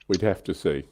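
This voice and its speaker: A man co-hosting a hobby livestream, chatting casually in a thoughtful, slightly hesitant way. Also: a little mellow.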